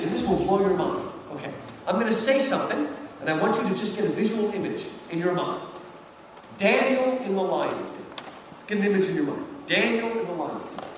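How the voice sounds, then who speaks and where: Male lecturer, fifties, emphatic and very lively intonation, questioning style, heard at a distance in a reverberant hall. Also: high voice. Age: 50s. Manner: emphatic, rhetorical questions, checks with listeners. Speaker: lecturer, professor. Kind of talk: animated public lecture commentary. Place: large indoor hall. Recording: echoey hall, distant mic, background noise.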